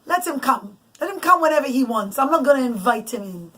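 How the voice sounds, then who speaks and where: A woman's voice: high-pitched, animated, a little fast, fairly bright tone. A woman playing a suspicious, irritable male king in a bedtime story, brusque and emphatic. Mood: defiant.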